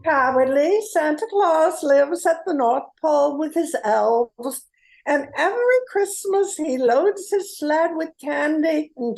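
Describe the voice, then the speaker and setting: A woman's voice: high voice, creaky, a little mellow, heavily acted. A woman playing a grown-up, no-nonsense female private eye in a comic radio play, wry and matter-of-fact.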